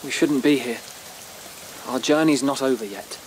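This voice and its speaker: A man's voice: medium pitch, moderate pace, slight background noise, lightly acted. A man acting in a radio drama as an anxious young male rabbit seer, uneasy and intense. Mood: troubled, insistent.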